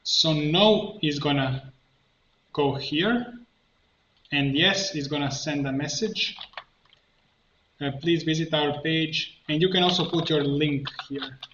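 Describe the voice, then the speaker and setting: A man narrating a step-by-step software tutorial, thinking aloud in a halting way as he works. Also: fairly high pitch. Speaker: tutorial instructor, coach. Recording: muffled, roomy, faint noise, keyboard clicks. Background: computer keyboard typing and clicking.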